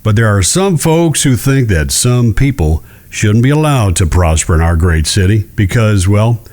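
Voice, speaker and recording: A man as a genteel, animated Old West judge. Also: lowish voice. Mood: measured, persuasive. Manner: measured, genteel, deliberate with careful pauses. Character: shrewd, courteous Old West male judge. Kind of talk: western audio drama acting.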